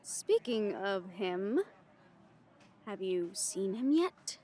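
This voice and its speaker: A woman's voice: medium pitch, very animated, very slow, mellow, exaggerated voice. A woman playing a cautious, frank werewolf second-in-command in an audio drama, with a questioning, dryly sardonic manner. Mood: probing. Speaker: voice actor in audio roleplay. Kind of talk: scripted audio roleplay drama.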